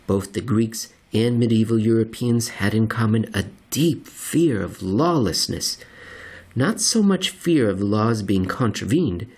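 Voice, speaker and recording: Husky man reading an essay dramatically. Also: fairly low pitch, darkish tone. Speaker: narrator reading an essay aloud.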